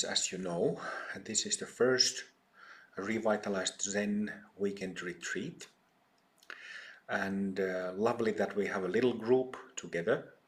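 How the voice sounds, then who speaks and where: A middle-aged man with a Finnish accent gives a dharma talk to a small retreat group. His voice sits fairly low, and he speaks very slowly, pausing often and filling the gaps with ums and uhs. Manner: slow, hesitant, many ums and pauses. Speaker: meditation teacher.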